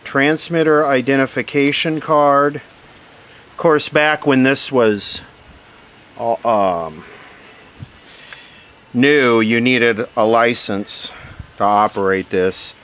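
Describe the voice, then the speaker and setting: A man giving casual, hands-on unboxing commentary, musing aloud in short phrases with pauses between them. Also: medium pitch. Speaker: vlogger unboxing vintage radio gear. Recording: steady background noise.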